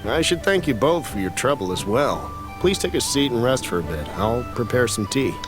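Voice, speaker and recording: An actor voicing a kindly, calm middle-aged uncle with a genial, unhurried manner in an anime-style game. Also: male voice, mid-range voice, loud music behind the voice, natural voice.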